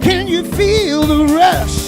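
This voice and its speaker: High-voiced woman singing slowly, gravelly. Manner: slow, drawn-out sung phrases. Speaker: singer. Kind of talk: singing a country-pop song. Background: loud guitar band backing.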